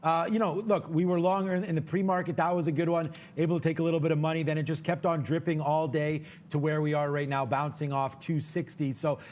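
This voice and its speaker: A man's voice: on the high side, flat delivery, fast, hardly any pauses, a little mellow. A man co-hosting a live trading show, giving casual market commentary in an informal, chatty way straight to viewers.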